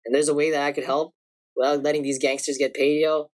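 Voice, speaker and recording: A man's voice: on the high side, flat delivery, fast, crowd noise. Slangy young Canadian man, laid-back. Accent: Canadian English. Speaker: guest, personal trainer. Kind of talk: casual chat.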